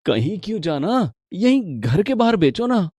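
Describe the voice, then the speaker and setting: Man voicing supportive young husband, emphatic, storybook drama, slightly high voice, dry recording. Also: very animated, unhurried, darkish tone, husky, dry recording, full character voice. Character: supportive, earnest young husband standing up to his mother. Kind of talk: dramatic Hindi moral-story voice acting.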